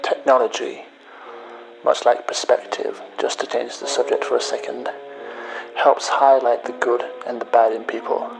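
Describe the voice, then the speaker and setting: A man playing a bitter, betrayed man leaving a cold, accusing message in an audio drama, in a hushed, deliberate, taunting manner. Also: mid-range voice, level tone, vocal fry, music in the background, natural voice, poor audio. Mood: bitter, brooding. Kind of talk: dramatic audio story monologue.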